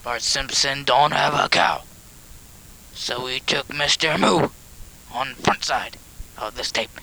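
A man's voice: mid-range voice, takes it slowly, vocal fry, pushed and bright, heavily acted, low-quality recording. A man voicing a blustering Soviet villain who boasts about blowing things up, in broken put-on Russian-style English, rambling and giggling in an improvised home tape skit. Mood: boastful. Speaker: comedy character on a home tape.

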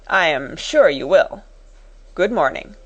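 A woman's voice: fairly low pitch, lively intonation, slow, dull audio. Storybook businessman, read by a woman: shrewd, unruffled, dry and clipped, polite with a sinister edge. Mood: slyly polite.

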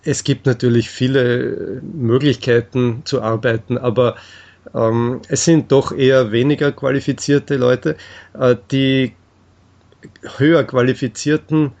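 A man answering in an interview, explaining things in a halting, measured way with frequent hesitations. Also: medium pitch, steady tone, a little mellow, highs cut off.

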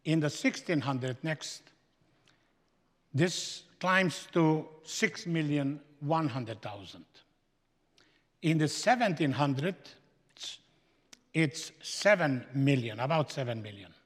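A man with a Slovenian accent, a guest speaker giving a slow, emphatic sermon in a seminary chapel. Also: fairly high pitch, lively intonation. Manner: slow, emphatic preaching with stretched-out phrases. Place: large chapel. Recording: echoey hall with long reverb.

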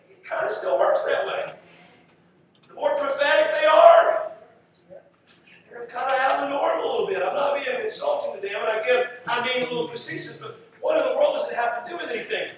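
A man's voice: extremely high pitch, steady tone, a little fast. A man preaching a church sermon in an emphatic, repetitive rhetorical cadence. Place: church sanctuary with audience. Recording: echoey room, distant mic, faint noise.

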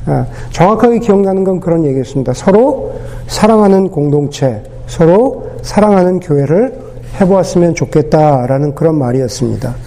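A man preaching a sermon as pastor, his voice hoarse from a cold, talking unhurriedly with many pauses and fillers. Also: on the high side, animated, dark tone.

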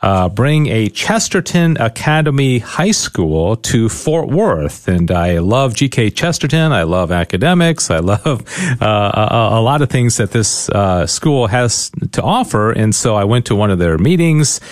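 Male radio host, animated delivery, dry studio sound. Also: fairly low pitch, unhurried, few pauses, no room sound. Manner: lively, with fillers. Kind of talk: radio interview and sponsor reads. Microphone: studio mic.

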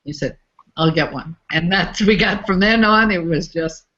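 A woman's voice: lowish voice, normal speed, a bit bright, muffled recording. A middle-aged woman from the USA, a facilitator, talking through her work in a conversational interview with frequent restarts.

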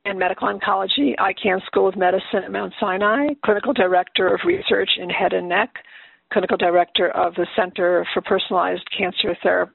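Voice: medium pitch, creaky, slightly rough, no room sound. A webinar moderator thanks the last speaker and formally reads out the next speaker's titles. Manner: polite, formal. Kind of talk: reading speaker introduction. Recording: thin phone-like webinar audio.

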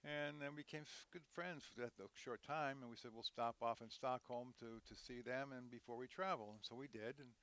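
An older man speaking at a middle pitch, talking through memories in a loose, rambling way. He often restarts sentences and leans on fillers as he goes. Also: steady tone, brisk, few pauses, no echo. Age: 60s. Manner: rambling, warm storytelling with many restarts. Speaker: guest, head equipment manager. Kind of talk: conversational interview.

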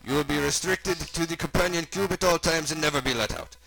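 An eccentric German mad scientist for a comic story. The voice is pushed higher, with a thick mock German accent. He is sinister and gleeful, savoring each word theatrically and dropping in German. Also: brisk, gravelly, background noise. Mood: gloating, sinister. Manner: theatrical, over-enunciated, mixing in German words.

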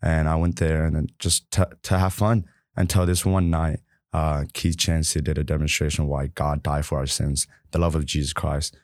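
Guy from burma, super deep voice, soft-spoken, lots of "like", dry mic. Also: flat delivery, normal speed, no echo. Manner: soft-spoken, many "like" fillers. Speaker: podcast guest. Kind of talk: casual testimony.

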